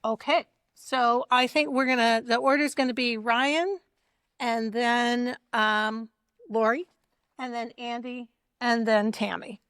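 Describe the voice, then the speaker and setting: A woman moderating a community forum, introducing speakers with many pauses and restarts. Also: fairly high pitch, fairly even intonation, a bit bright, clear, resonant tone, no room sound, clean studio sound. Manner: hesitant, many ums and restarts. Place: community meeting room.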